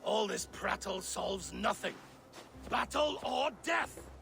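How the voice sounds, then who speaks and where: Blustering thunder god, gravelly, tense, bright. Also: male voice, high-pitched, animated, takes it slowly, a little hiss or hum, heavily acted. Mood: impatient. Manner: impatient, blustering. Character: Thor, brash hot-headed male thunder god.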